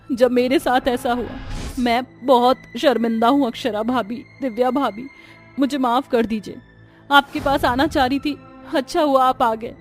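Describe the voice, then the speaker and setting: A woman's voice: high voice, lightly acted. A woman voicing a meek, remorseful young bride in a cartoon story, pleading and emotional. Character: remorseful, meek young bride, once arrogant, now tearful.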